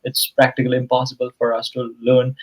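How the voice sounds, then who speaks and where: A man's voice: medium pitch, monotone, fast talker, poor audio. Earnest male English tutor, Nepali native. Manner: earnest, explanatory, with frequent "you know" and "right?". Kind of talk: casual conversational interview.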